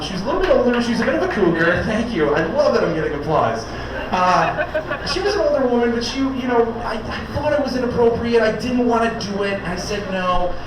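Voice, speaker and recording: High-voiced man rambling through stand-up. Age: 30s. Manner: rambling, halting with many fillers. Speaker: stand-up comedian. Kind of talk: stand-up comedy set. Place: comedy club stage. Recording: echoey club from far off, noisy.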